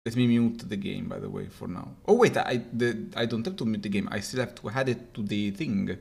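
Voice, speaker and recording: A man's voice: on the low side, a little fast, vocal fry, darkish tone. A young man from Italy with an Italian accent, chatting and joking as a streamer in a small room at home, often stumbling over his words. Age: young adult. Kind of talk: casual live-stream chat. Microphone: webcam framing, wearing headphones.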